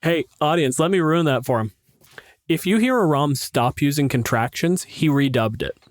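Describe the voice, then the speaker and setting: A man's voice: a little high, lively intonation, normal speed, no room sound, clean studio sound. A man speaking casually as a podcast co-host and game master, with a blunt, teasing, sweary manner.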